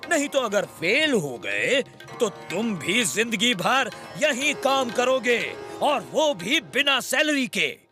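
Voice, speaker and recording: An imperious cartoon king with a quick temper. His high voice swings widely as he hands down orders, with music playing clearly behind him. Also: very animated, pushed and bright, full character voice. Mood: commanding. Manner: imperious, exclamatory. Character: bossy adult Indian king, strict and easily angered. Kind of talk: Hindi children's cartoon dubbing.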